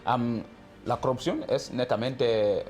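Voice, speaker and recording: A man's voice: medium pitch, slow pace, darkish tone, soft background music. A man hosting a studio panel discussion, guiding with questions and short affirmations. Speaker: host.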